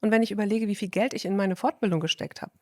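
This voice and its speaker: A woman's voice: medium pitch, very fast, a little mellow, no room sound, studio quality. Emphatic woman doctor explaining at length. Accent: German. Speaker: physician guest. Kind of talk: casual podcast conversation.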